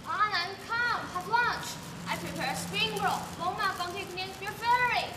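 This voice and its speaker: A fussy mother rushing her child along with nagging urgency, played by a Taiwanese-accented young woman. The voice is very high. It comes from a distance in an echoing auditorium with noise. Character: fussy hurried immigrant mother. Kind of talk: school stage play. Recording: distant echoey hall with noise.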